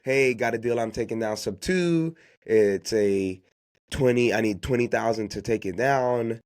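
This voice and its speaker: A man's voice: mid-range voice, unhurried, darkish tone. A man who grew up speaking Haitian Creole gives unscripted motivational business advice as a podcast host, emphatically repeating key phrases and posing rhetorical questions.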